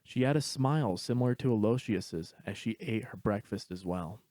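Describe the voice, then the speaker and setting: A man's voice: lowish voice, normal speed, warm tone, no room sound. A man reading a scripted story aloud as its narrator.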